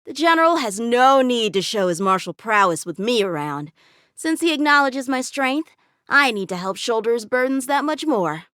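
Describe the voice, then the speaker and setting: A confident young boy lieutenant with a sword in a video game. The voice is high and youthful, with lively swings in pitch. The delivery is earnest and a little cocky, recorded dry and close. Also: normal speed, clear, resonant tone, dry recording. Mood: earnest, thoughtful. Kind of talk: video game character voice lines.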